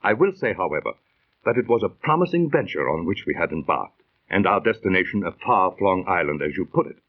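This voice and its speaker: A slightly muffled old radio recording features an earnest seafarer with a scientific bent. His mid-pitched voice tells the yarn in formal, dramatic phrases with lively inflection. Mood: earnest storytelling. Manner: formal, dramatic, deliberate diction. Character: earnest, scientific-minded seafaring storyteller, Mister Morton. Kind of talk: 1930s radio acting.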